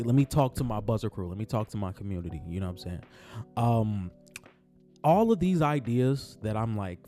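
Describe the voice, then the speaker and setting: Raspy-voiced man in a laid-back basketball vlog. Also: on the low side, warm tone. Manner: laid-back, repeats phrases, heartfelt. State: raspy morning voice. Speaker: sports vlogger. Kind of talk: casual basketball talk vlog. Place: on his way to work.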